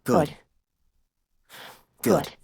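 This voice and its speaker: A man's voice: extremely slow, darkish tone. A man voicing an insistent otherworldly woman in a storybook narration, speaking in firm commands and short questions. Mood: reassuring.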